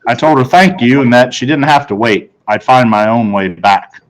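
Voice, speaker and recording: A man's voice: medium pitch, normal speed, low-quality recording. Male teacher, mid-range voice, for read-aloud storybook narration.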